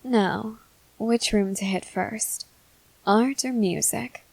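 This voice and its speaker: A woman voicing a composed, haughty junior high schoolgirl with formal, measured, articulate speech in a scripted ASMR roleplay. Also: gravelly, a little mellow, husky, exaggerated voice, rough recording. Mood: wry, musing.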